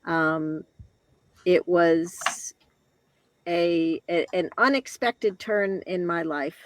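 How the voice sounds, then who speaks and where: Older American female interview guest, halting personal storytelling, very leisurely pace, dry close sound. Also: lowish voice, no echo. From Connecticut, northeastern United States. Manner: reflective, halting, pausing between short phrases. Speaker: guest telling own life story.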